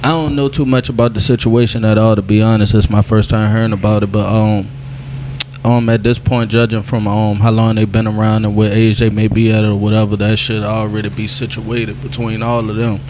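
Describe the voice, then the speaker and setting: A man's voice: on the low side, little pitch movement, a little fast, background noise. A man in his twenties, a rapper and label owner, chatting casually in a rambling, laid-back way. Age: 20s. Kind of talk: casual chat.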